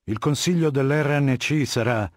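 An actor playing a ruthless, cultured warlord dictator in a video game, with a husky voice and a commanding, condescending, articulate delivery. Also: male voice, mid-range voice, measured pace, no echo, studio quality, close to an everyday voice. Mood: lecturing, condescending.

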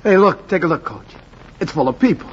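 An actor playing an earnest, determined young ballplayer in a 1940s radio drama. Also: male voice, sits high, expressive, brisk, slightly rough. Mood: eager. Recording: noisy, muffled 1940s radio recording.

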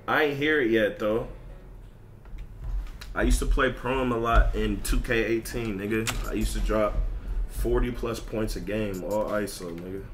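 A man's voice: lowish voice, steady tone, a little slow. A man chatting casually on a livestream as a video game streamer, ranting in profane, repetitive slang. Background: music.

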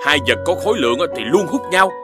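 A man's voice: on the high side, expressive, fast, close to an everyday voice. A man with a southern Vietnamese accent voicing a clever, patient dog scientist who explains things in a children's cartoon. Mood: eager, instructive. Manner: explanatory, lecturing. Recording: loud children's cartoon music underneath.

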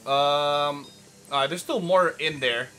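Hoarse, raspy, chatty gaming host, man. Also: fairly high pitch, slow, pushed and bright, soft background music. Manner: chatty, rambling, lots of fillers. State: hoarse from yelling. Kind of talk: casual let's play commentary.

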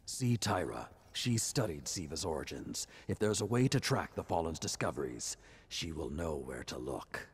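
An actor playing a solemn, battle-worn middle-aged warrior lord in a video game cutscene, with a grave, deliberate, weighty delivery and a gravelly, husky voice. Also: male voice, a bass voice, sing-song, exaggerated voice. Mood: grave, resolute.

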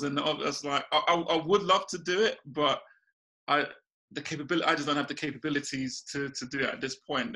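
A man in his thirties from Ghana, a small business owner, chatting relaxed over a video call from a small room at home, laughing often and restarting his sentences. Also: fairly high pitch. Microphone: video call webcam.

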